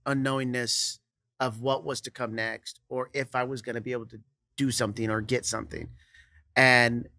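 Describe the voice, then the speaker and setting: Bright-voiced young man, easygoing guest. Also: mid-range voice, dry recording, clean studio sound. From Kansas. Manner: easygoing, laughs often, trails off with "you know". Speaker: songwriter and producer guest. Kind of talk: casual interview chat. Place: studio set with brick wall and couch. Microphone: studio mic on boom arm.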